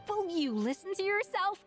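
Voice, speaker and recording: High-voiced, indignant young chef heroine. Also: female voice, big pitch swings, measured pace, soft background music, full character voice. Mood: exasperated. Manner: scolding, indignant, crisp retorts. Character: exasperated, proud young woman chef heroine, sharp-tongued. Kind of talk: video game voice acting.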